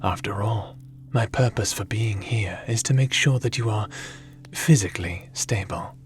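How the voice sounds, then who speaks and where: A man playing a seasoned, caring and wry adventurer in an ASMR audio roleplay, speaking softly in a measured, gently theatrical way. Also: on the low side, lightly acted. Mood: gentle, attentive. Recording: music under the voice.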